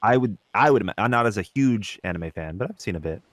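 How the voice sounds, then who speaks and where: A man's voice: lowish voice, animated, fast, no echo, studio quality. A man co-hosting a podcast, chatting casually and reacting in an agreeable, laid-back, informal way. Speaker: podcast co-host. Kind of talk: casual chat, reacting to co-host.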